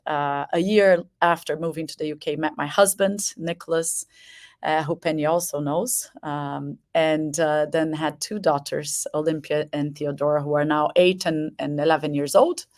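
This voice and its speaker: A woman from Brazil in her forties speaks as a webinar guest over a video call. Her voice is notably low. She talks in a steady, measured way, filling pauses with uh and um and repeating words as she goes. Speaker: guest, company president. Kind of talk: self-introduction in webinar.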